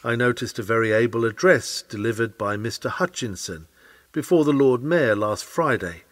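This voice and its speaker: A man reading an old Victorian sermon aloud in an earnest, oratorical manner with deliberate phrasing. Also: medium pitch, a bit bright. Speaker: sermon reader.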